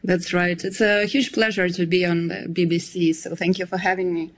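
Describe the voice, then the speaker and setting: A woman's voice: lowish voice, level tone, moderate pace. Ukrainian woman giving considered interview answers. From Zaporizhia, Ukraine. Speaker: interview guest, founder of a research organization.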